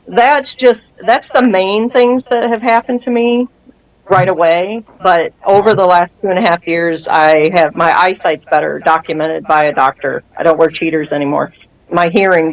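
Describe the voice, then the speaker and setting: Woman, fifties, low-pitched voice, casual interview, animated storytelling, acts out dialogue. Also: rough recording. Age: 50s. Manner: animated storyteller who acts out quoted dialogue and laughs often. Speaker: guest sharing a testimony.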